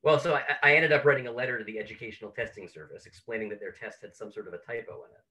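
A man's voice: fairly low pitch, very fast, pushed and bright. A man hosting an online talk, telling an anecdote as an introduction over a video call. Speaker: host introducing a speaker.

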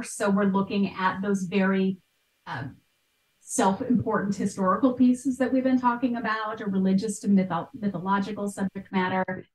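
A woman's voice: mid-range voice, a little mellow. Roomy-sounding woman explaining. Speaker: guest expert, art history podcaster. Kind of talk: conversational explaining in an interview. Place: small bright room at home. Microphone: video call, at some distance. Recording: roomy, distant microphone.

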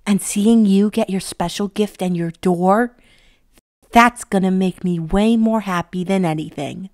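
A woman voicing an affectionate, encouraging older girl in an animated film. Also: mid-range voice, a little slow, no echo, clean studio sound, pitched below the performer's own voice, close to an everyday voice. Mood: reassuring. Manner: tender, encouraging.

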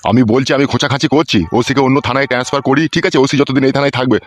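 A man's voice: mid-range voice, steady tone, very fast, close to an everyday voice. A man playing a corrupt, scheming local politician in a comic cartoon, bossy and coarse, on a dull dry recording.

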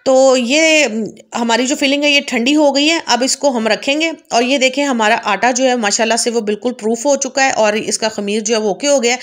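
A woman hosting a home cooking channel, patiently explaining each step and often checking in with viewers. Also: a little high. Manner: patient, repeats "theek hai" to check in with viewers. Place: home kitchen.

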